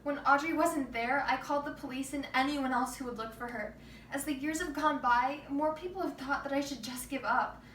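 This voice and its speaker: A woman's voice: high-pitched, fairly quick, a bit bright, echoey room. Grieving mother, played by a stage actress, steadfast, readers theater monologue, distant mic, faint background noise.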